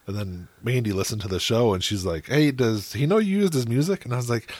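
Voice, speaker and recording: Casting note: quick, jokey male host who swears freely, close dry mic. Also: mid-range voice, expressive, a little mellow, no room sound. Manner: quick, joking, swears casually. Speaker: podcast host. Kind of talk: casual podcast conversation.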